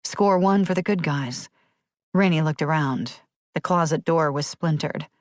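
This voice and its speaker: A woman's voice: deep voice, unhurried, no echo, clean studio sound. A woman reading a thriller audiobook as a scripted, dramatic narration.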